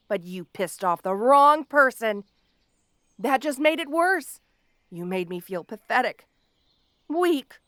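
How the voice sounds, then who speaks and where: A woman playing a petty, obsessive supervillain in an audio roleplay, gloating haughtily and speaking straight to the listener with theatrical pauses. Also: high-pitched, a bit bright, no room sound, studio quality, full character voice. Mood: bitter, grandiose. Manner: gloating, haughty, dramatic pauses and sudden swoops. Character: petty, obsessive, melodramatic female supervillain with a grudge.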